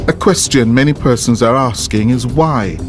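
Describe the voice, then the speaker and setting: A Jamaican man delivers scripted news narration as a reporter. His voice sits at a middling pitch, with clearly audible music underneath. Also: moderate pace. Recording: music bed behind the voice. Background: background music.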